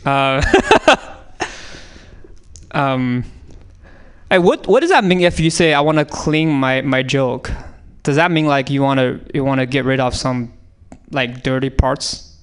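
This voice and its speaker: A man with a Chinese accent riffs on comedy prompts in a radio studio. His voice is clean and smooth, at an ordinary middle pitch. He rambles, restarts his sentences and leans on fillers. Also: slow pace. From China. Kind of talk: improvised comedy on audience prompts.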